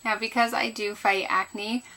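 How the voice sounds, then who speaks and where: A woman's voice: mid-range voice, level tone, unhurried, background noise. A woman chatting casually through a makeup tutorial as a beauty vlogger, sounding a little groggy and tired. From Canada. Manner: chatty, rambling.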